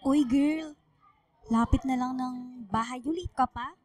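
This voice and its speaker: Teasing filipino school girl bestie, high voice, gossipy, radio drama. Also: slow, no room sound. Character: teasing, chatty teenage schoolgirl best friend.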